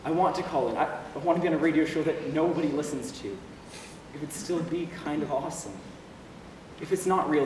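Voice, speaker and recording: A man's voice: a little high, a little mellow, lightly acted. A man playing a despairing but wry lonely young man in a confessional stage monologue. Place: stage play recorded in a hall. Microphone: distant camera or room microphone. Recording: echoey hall from far off, noisy.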